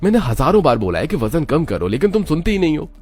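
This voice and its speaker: A man's voice: on the high side, sing-song, quick pace, darkish tone, husky, pitched above the performer's own voice. A man voicing an exasperated young husband in a scripted cartoon story, talking in a weary, lecturing way. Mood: scolding. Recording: music under the voice.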